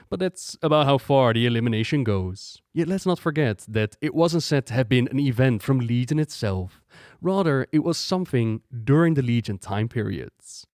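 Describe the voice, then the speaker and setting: A man's voice: mid-range voice, a little mellow, no room sound. Male video commentator, mid-range voice, emphatic and keen delivery, close mic with no room sound. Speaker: video host. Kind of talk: gaming lore commentary.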